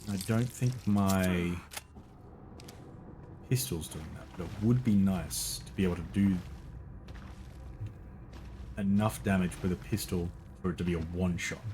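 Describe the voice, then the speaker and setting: A man's voice: sits low, measured pace, pauses often, dark tone, a little hiss or hum. A male streamer giving casual gameplay commentary, thinking aloud and muttering to himself.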